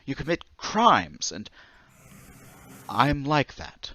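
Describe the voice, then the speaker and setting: An actor playing a troubled, desperate man confessing to murders, halting and pleading in old time radio drama style. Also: mid-range voice, huge pitch swings, bright, forceful tone, no echo, exaggerated voice. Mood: anxious, halting. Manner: halting, agitated, stammering, pleading.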